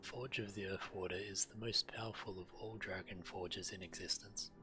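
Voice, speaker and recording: A man's voice: deep voice, steady tone, normal speed, poor audio. A man streaming a game, reading quest text aloud and adding casual commentary. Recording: game music behind the voice. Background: game music.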